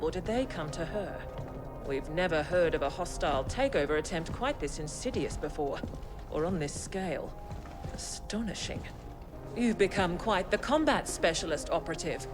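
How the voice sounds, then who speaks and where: An actor voicing a composed, erudite intelligence handler who briefs an operative in a video game, measured and dry. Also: medium pitch, animated, over music, natural voice. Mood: composed, analytical. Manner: measured, erudite, dryly admiring.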